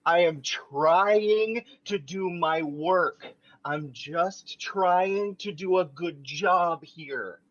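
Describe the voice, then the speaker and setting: A man's voice: high-pitched, extremely slow, a bit bright, lo-fi sound, full character voice. A man reading a vain, bossy young man in an amateur table read. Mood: self-pitying. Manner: bossy, coaxing, self-important.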